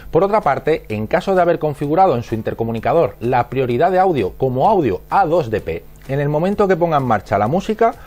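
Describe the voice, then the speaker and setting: Lively higher-voiced Spanish man narrating an explainer. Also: quick pace. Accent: Spain. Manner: methodical, didactic. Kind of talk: scripted instructional narration.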